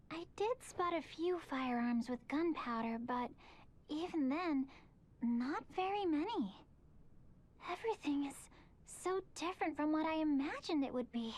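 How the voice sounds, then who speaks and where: High-voiced, earnest young attendant. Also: female voice. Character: earnest, informal young female royal attendant. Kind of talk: video game cutscene acting.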